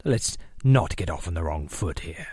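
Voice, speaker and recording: An actor playing a smooth, menacing male villain leader who takes over a town, speaking in a cold, silky, threatening way. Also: sits low, animated, moderate pace, dark tone, dry recording, clean studio sound. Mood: silky menace. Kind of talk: audio drama acting.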